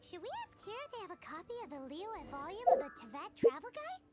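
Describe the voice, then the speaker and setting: A woman's voice: very high voice, sing-song, fast talker, a little mellow, soft background music. A woman voicing a tiny floating female companion creature in a game, bubbly and exclaiming. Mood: chirpy. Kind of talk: game voice acting.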